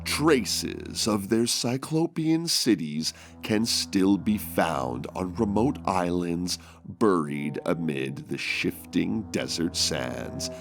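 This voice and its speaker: A man narrating a horror tabletop show in a dramatic, deliberate manner. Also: sits low, creaky. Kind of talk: scripted horror narration and game talk.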